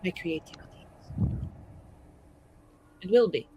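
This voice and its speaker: A woman's voice: fairly low pitch, lively intonation, slow, mellow, highs cut off, slight background noise. A woman roleplaying casually as a tabletop game player, venting in an informal, sweary way.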